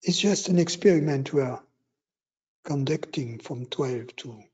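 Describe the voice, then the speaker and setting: Very slow-paced man guiding meditation. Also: mid-range voice, darkish tone, husky, highs cut off. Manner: unhurried, with long pauses between short phrases. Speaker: meditation teacher. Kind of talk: guided meditation instruction.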